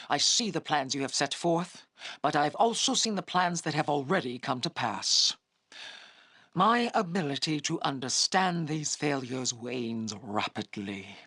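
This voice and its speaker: A proud, menacing half-dragon warlord from a fantasy game. Her voice is husky and forceful, swinging widely in pitch as she delivers formal, scornful decrees. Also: dry recording, studio quality, exaggerated voice. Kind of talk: scripted fantasy video game acting.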